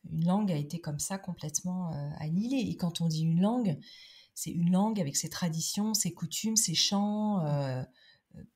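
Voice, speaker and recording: A woman's voice: lowish voice, darkish tone. A middle-aged woman giving a conversational interview as a podcast guest, thoughtful, with many hesitations and restarts. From France.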